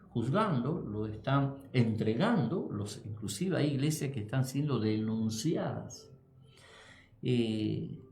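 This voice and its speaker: Man, radio host, gravelly, halting, echoey studio, faint hiss. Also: mid-range voice, a little mellow. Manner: halting, many "eh" pauses, answers with "tremendo".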